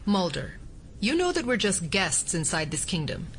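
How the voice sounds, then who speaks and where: A woman's voice: on the low side, very animated, normal speed, a little hiss or hum. An actress in an audio drama playing a stern, sardonic female assistant director, formal and reproving. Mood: dry reproach.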